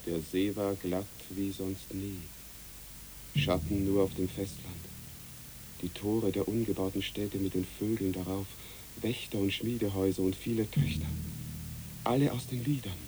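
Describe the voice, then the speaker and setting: Low-voiced actor as a dreamy soldier. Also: male voice, steady tone, moderate pace, darkish tone, natural voice, rough recording. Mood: dreamy, brooding. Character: dreamy soldier narrating a visionary memory. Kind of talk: literary radio play acting. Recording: hissy background.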